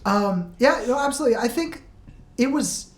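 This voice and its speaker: A man's voice: very high voice, expressive, normal speed. A man, an interview guest, telling stories in casual, emphatic chat with plenty of fillers. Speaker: band vocalist, interview guest.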